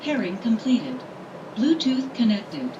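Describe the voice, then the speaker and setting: A woman's voice: a little high, sing-song, slow. A woman's automated assistant voice giving short status announcements through a device. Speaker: voice assistant prompt. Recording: dull, distant device speaker with music.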